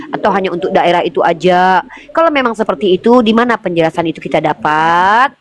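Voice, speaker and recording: A woman hosting a live online religious debate, pressing her points with drawn-out emphasis and reading scripture aloud. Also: mid-range voice. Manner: insistent, drawn-out emphatic delivery, addresses others as younger siblings. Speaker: debate host.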